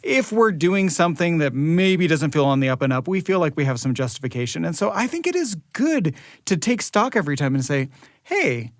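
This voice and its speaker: A man's voice: a little high, very animated, brisk, dry recording, clean studio sound. A middle-aged American man speaking as a podcast co-host in a conversational presenting style. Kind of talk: conversational podcast hosting and credit reading. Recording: music playing behind the voice. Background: music.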